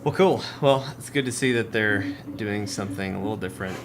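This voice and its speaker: A man's voice: fairly low pitch, fairly quick, noisy background. A man hosting a casual gaming podcast, chatting with friends. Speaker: podcast host.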